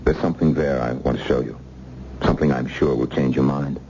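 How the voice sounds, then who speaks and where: An actor playing a menacing, coldly polite interrogator with a silky, taunting manner in an old radio thriller. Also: male voice, very deep voice, steady tone, fairly quick, dark tone. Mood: calm menace. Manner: silky, mock-courteous, taunting. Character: menacing male interrogator, coldly polite villain threatening torture. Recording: muffled, hissy old radio recording.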